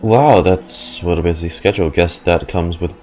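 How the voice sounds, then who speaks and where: A man's voice: low voice, moderate pace. A man streaming a casual reaction commentary over a video game. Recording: game music behind voice.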